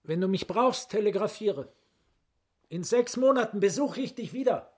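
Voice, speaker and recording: Radio drama part: earnest, forceful gentleman with a husky edge. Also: sits high, animated, normal speed. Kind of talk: German radio drama acting.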